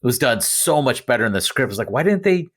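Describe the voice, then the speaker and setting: A man chatting casually and giving his opinions as a podcast panelist, with somewhat halting phrasing. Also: mid-range voice, big pitch swings, fairly bright tone.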